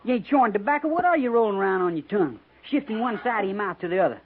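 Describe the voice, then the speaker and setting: A man's voice: high-pitched, expressive, very fast. An American man playing a restless, querulous young cavalry trooper with a folksy frontier drawl in a 1950s radio western. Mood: suspicious. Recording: tinny, noisy 1950s radio recording.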